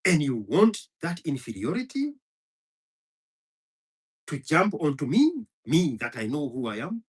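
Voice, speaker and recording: A man's voice: fairly high pitch, sing-song, unhurried, breathy edge. A man from Kenya, a Kikuyu speaker, delivering a heated, profane monologue full of hammering repetition. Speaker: commentator. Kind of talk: impassioned monologue.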